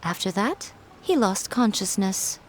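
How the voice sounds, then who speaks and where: A woman's voice: mid-range voice, big pitch swings, a little slow. A woman voicing a sly, elegant, self-assured fox shrine maiden in a video game, playful and smugly poised. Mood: composed.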